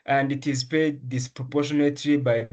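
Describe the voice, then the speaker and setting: A young man from Rwanda speaks at a middling pitch in an interview. His answers come earnestly and carefully, broken by pauses, fillers and restarts as he builds his points. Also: flat delivery, darkish tone. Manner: earnest, measured, with frequent ums and restarts. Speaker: medical student, essay prize winner.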